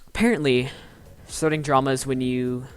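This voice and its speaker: Young male streamer, mid-pitched, stutters constantly, blunt no-mercy roasting tone, faint background music. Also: steady tone, normal speed, smooth, no room sound. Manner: stuttering, rambling, with frequent restarts. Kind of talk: casual live-stream chat.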